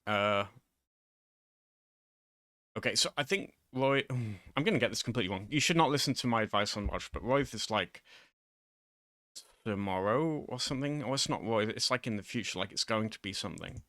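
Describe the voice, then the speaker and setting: A man's voice: on the low side, lively intonation, moderate pace, long pauses, a bit bright, no echo. A man giving an informal, improvised lesson in a hesitant, rambling, self-deprecating way, close to the microphone. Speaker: online video host.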